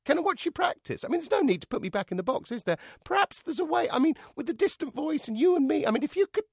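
High, excitable boyish ventriloquist's dummy. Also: male voice, quick pace, gravelly, dry recording, clean studio sound, full character voice. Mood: pleading. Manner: excitable, pleading, cheeky. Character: boyish ventriloquist's dummy, eager, indignant, clingy chatterbox. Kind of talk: audiobook character voice.

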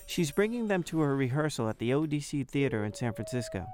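A man's voice: medium pitch, sing-song, fast talker, a little mellow, faint music behind. Man narrating a news feature.

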